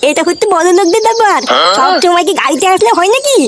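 A woman's voice: very high voice, expressive, fast talker. A woman doing a bragging cheeky schoolboy. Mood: smug. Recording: noisy background.

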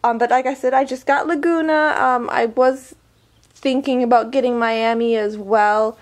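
A woman's voice: fairly high pitch, steady tone, normal speed. A woman vlogger chatting casually through an unboxing review, her voice a little weak from a summer cold, full of ums and likes. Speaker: beauty vlogger.

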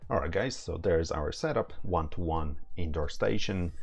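A man's voice: low voice, animated, moderate pace, vocal fry, slightly rough, faint music behind. A man in his thirties giving a casual, informal, step-by-step tutorial as a product demonstrator. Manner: informal, hands-on, step-by-step explaining. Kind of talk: casual tutorial, explaining setup steps.

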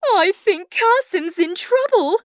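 An earnest, emotional storybook woman, speaks theatrically in a very high voice. The pitch swoops up and down at an unhurried pace. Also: very animated, dry recording, clean studio sound, full character voice. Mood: worried pleading. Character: earnest, emotional storybook women, wives and servant.

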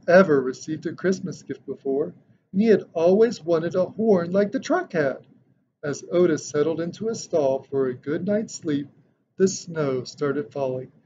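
Guy reading a kids book out loud, kinda high voice, dramatic, muffled recording w some noise. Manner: expressive, dramatic storytelling. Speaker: storybook reader. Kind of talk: children's picture book read-aloud. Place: indoor decorated room. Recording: dull lo-fi sound with background noise.

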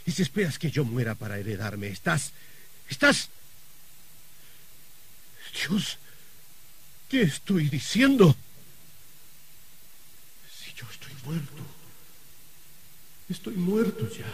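A man's voice: a little high, big pitch swings, slow pace, long pauses, a little hiss or hum, heavily acted. An actor playing a stern, anxious older wealthy man in a radio drama, hesitant and given to muttering. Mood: agitated.

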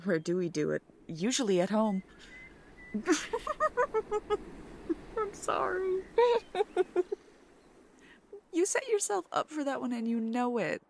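A young woman doing a soft, playful, teasing ASMR girlfriend roleplay. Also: a little high, big pitch swings, slow, vocal fry, long pauses, a little hiss or hum.

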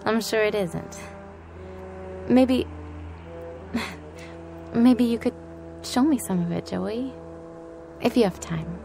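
Shy, admiring young woman, creaky-voiced. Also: medium pitch, expressive, slow pace, pauses often, soft background music. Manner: soft-hearted, hesitant, sincere. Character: gentle, admiring young woman, shyly smitten newcomer. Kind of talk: radio drama acting.

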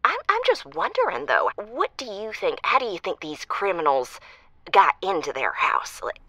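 Comic radio drama caller: vengeful, mock-innocent, theatrical, stammering, bright tone, dry sound. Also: female voice, medium pitch, huge pitch swings, moderate pace, dry recording, exaggerated voice. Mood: sly, mock-innocent. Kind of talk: comic audio drama acting.

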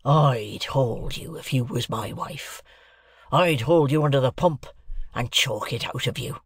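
A performer voicing a sullen, spiteful young journeyman blacksmith with a gruff, growling, rustic working-class way of talking in an audiobook. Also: mid-range voice, a little slow, no room sound, clean studio sound. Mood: menacing, spiteful. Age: young adult.

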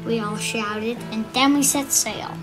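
A woman reading a children's storybook aloud in an expressive narrating style. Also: on the high side, unhurried, poor audio. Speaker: children's book reader. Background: music.

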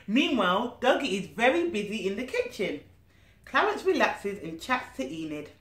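Lady reading a kids storybook, super lively up and down voice, asks questions, echoey living room. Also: mid-range voice, moderate pace. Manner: expressive, asks the listener questions. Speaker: nanny reading a storybook to children. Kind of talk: animated children's story reading with asides. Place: small living room, indoors. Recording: roomy living-room echo.

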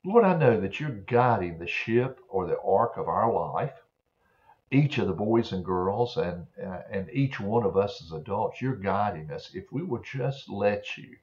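An elderly man in his seventies, a preacher speaking gently and earnestly to children as he shares Bible stories and prayers. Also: fairly low pitch, animated, measured pace. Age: 70s. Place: small home office, indoors. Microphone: computer webcam at desk distance. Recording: dull, muffled webcam-style sound.

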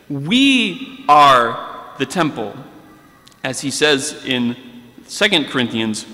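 A man in his thirties preaching a sermon at a pulpit microphone in a large church sanctuary, earnest and deliberate, reading scripture aloud. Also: a little high, bright, forceful tone. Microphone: pulpit microphone on a stand. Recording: long church reverb.

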